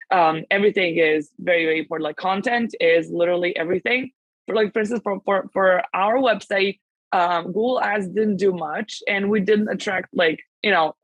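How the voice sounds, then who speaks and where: A woman whose first language is Serbian speaks as a podcast guest, her voice in a plain middle register for a woman. She talks fast and rambling, with lots of "like" and self-corrections. The recording is close and dry, with no room sound. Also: medium pitch, no echo, low-quality recording. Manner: fast, rambling, many fillers and restarts. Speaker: guest, translation agency founder. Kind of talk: casual podcast interview.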